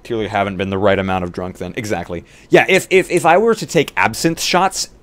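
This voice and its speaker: Animated, slightly low-voiced man chatting. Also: brisk. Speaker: streamer. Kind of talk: casual livestream chat.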